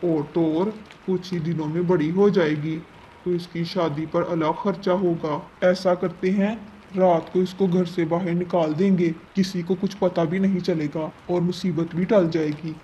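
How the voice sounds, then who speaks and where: Cartoon uncle bird, dark tone, flat delivery, cold, scheming, muffled noisy recording. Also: male voice, high voice, unhurried. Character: callous, scheming uncle bird, henpecked husband. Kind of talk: Hindi cartoon voice acting.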